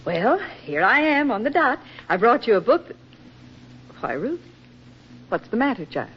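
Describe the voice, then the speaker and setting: An actress playing a motherly, gently consoling older female friend in a 1940s radio drama, speaking expressively. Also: on the high side, big pitch swings, normal speed, slightly rough. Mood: concerned. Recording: muffled vintage radio recording with music.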